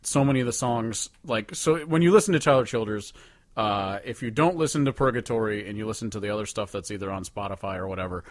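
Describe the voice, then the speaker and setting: A man's voice: lowish voice, fast. A man co-hosting a casual music podcast, talking in an emphatic, hyperbolic way with profanity. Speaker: podcast co-host.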